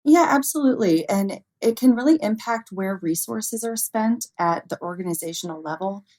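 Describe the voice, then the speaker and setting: A woman's voice: mid-range voice, normal speed, a little mellow. A woman speaking as an expert guest in an interview, explaining things in an informal, conversational way with everyday examples. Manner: frequently chuckles, informal, illustrates with acted-out quotes.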